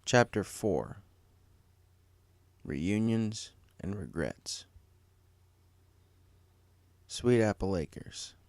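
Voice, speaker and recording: A man's voice: lowish voice, animated, very slow, pauses often, a little mellow, no echo. A man reading a story aloud as a scripted narrator, in a storybook style. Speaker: fan fiction story reader.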